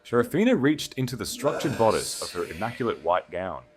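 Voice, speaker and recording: A man's voice: lowish voice, very animated, a little fast. A man reading a scripted fantasy romance as a dramatic audiobook narrator, with music behind the narration.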